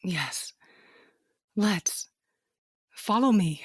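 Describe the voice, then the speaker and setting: A woman's voice: mid-range voice, lively intonation, drawn-out words, husky, no echo, studio quality, heavily acted. A woman playing a decisive, sardonic wife on a fantasy quest in an audio drama. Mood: exasperated, sarcastic.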